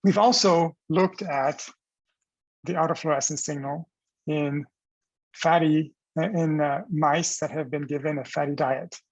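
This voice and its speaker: A man giving a scientific research presentation as a professor, explaining in a measured, halting way with restarts. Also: on the high side, fairly even intonation, dry recording, dull audio.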